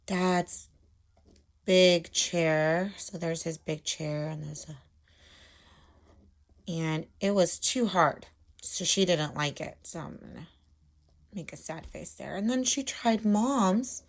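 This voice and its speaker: A woman teacher explaining things simply to young children, talking casually as she draws and adding little check-in questions. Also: fairly low pitch. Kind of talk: casual instructional talk while drawing.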